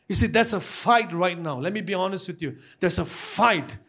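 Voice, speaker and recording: Male preacher, Malaysian accent, high-pitched voice, impassioned and exhorting sermon delivery with frequent repetition. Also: lively intonation, moderate pace, fairly bright tone, breathy edge. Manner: repetitive, exhorting, often addressing the congregation.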